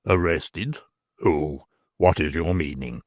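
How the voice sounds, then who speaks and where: A reader voicing a highwayman captain who speaks in low, clipped tones and curses under pressure. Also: slow pace, no echo, clean studio sound, exaggerated voice. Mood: grim. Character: desperate highwayman captain, curt and cursing.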